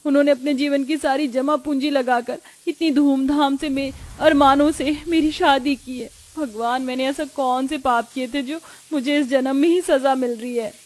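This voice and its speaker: Woman playing a high-voiced, plaintive young Indian bride. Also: steady tone, moderate pace, few pauses, natural voice. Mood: despairing. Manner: plaintive, lamenting. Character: distressed young Indian daughter-in-law, tender-hearted and plaintive. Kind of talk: cartoon story dialogue.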